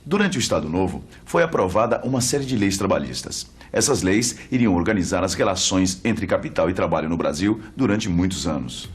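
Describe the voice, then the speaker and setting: A man's voice: deep voice, animated, fairly quick, hardly any pauses, soft background music. A Brazilian man presenting an educational documentary in a conversational narrating style.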